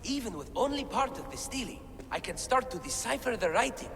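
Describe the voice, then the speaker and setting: A man's voice: very high-pitched, expressive, a little fast, a bit bright, in a large hall, heavily acted. An actor playing an eager male scholar deciphering ancient writing, talking about his discoveries with enthusiasm. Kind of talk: game cutscene acting.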